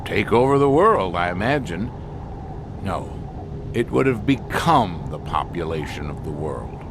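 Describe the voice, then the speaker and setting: An actor playing a grave expedition scientist in an audio drama, speaking deliberately with weighted, halting pauses. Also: male voice, low-pitched, big pitch swings, background noise, heavily acted. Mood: grim, foreboding. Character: grave male expedition scientist explaining an alien menace.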